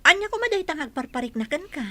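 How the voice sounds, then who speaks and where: A woman's voice: high-pitched, big pitch swings, quick pace, pushed and bright, no room sound, exaggerated voice. A woman playing a devoted, trusting wife with creeping suspicion in an Ilocano radio drama. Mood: defensive, uneasy.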